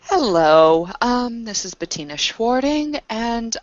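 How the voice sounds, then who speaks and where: A woman's voice: on the high side, big pitch swings, slow, no room sound, lo-fi sound. A woman playing a tabletop roleplaying game, speaking casually both in character and as herself.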